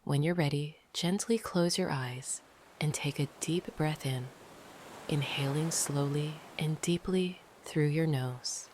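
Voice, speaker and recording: A meditation narrator speaks in a soft, breathy voice that often slips toward a whisper. The delivery is calm, gentle and unhurried, with long pauses between phrases. Faint noisy ambience sits behind the voice. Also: medium pitch. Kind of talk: slow, soothing guided meditation narration.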